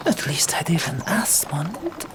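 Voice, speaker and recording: A woman narrating an audiobook in a hushed ASMR whisper, with deliberate, dramatic phrasing. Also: on the low side, sing-song, noisy background.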